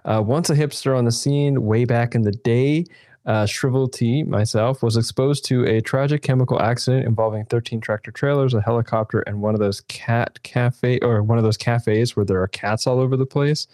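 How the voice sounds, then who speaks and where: A man's voice: medium pitch, fairly even intonation, normal speed, darkish tone, no echo, studio quality. A man playing a tabletop game, joking in character with playful, mock-grand phrasing. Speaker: player. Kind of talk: comedic in-character banter.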